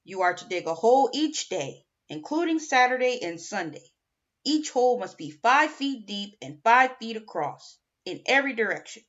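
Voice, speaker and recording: A woman's voice: a little high, very animated, moderate pace, fairly bright tone, pitched above the performer's own voice. A woman voicing a blunt, domineering male camp counselor from a children's audiobook, commanding in manner, on a lo-fi home recording. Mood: stern, bossy.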